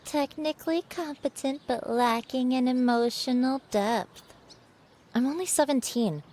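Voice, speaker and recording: Female actor as seventeen-year-old girl, higher voice, hesitant, self-doubting, youthful, audio drama. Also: unhurried, warm tone. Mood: weary, exasperated.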